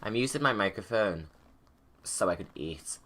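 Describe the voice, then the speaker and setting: Bright-voiced man giving rambling casual commentary. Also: fairly low pitch, lively intonation, a little slow. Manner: informal, rambling, self-interrupting. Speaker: game movie creator.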